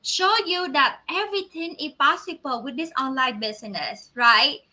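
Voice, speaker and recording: A woman hosting an online business pitch, speaking emphatically and repetitively in non-native grammar, like an enthusiastic testimonial. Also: expressive, moderate pace, bright, forceful tone. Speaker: host of online business promotion.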